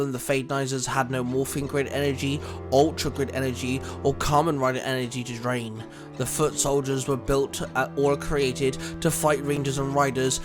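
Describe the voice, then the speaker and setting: A man reading scripted fan fiction as the narrator of an action story. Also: mid-range voice.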